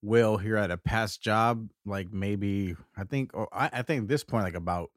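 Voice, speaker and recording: A man's voice: lowish voice, normal speed, dry recording, clean studio sound. A man in his thirties co-hosting a podcast, speaking in an easygoing, hesitant way full of "like"s. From Puerto Rico, raised in Connecticut. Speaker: podcast co-host. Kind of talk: casual chat.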